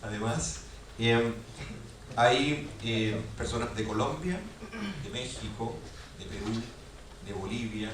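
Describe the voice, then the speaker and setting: A man's voice: mid-range voice, takes it slowly, pauses often, a bit bright. A man from Venezuela moderating a panel, speaking hesitantly with many fillers. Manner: hesitant, filler-heavy questions. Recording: echoey hall, distant mic, faint noise.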